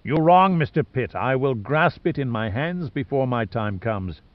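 A man's voice: mid-range voice, lively intonation, measured pace, dry recording, lo-fi sound, natural voice. A man voicing an arrogant, callous older male tycoon villain in an audiobook, speaking with cold, pompous scorn. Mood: smug contempt. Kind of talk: audiobook character dialogue.

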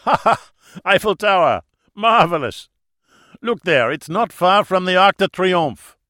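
Audiobook villain-host: hearty older German man, played by a male narrator, raised bright voice, oily courtesy. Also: high-pitched, lively intonation, a little slow, dry recording, studio quality, voice pushed up, exaggerated voice. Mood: amused, booming. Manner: hearty, effusive, falsely courteous. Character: big, jovial, unctuous older German expatriate man, theatrically gallant.

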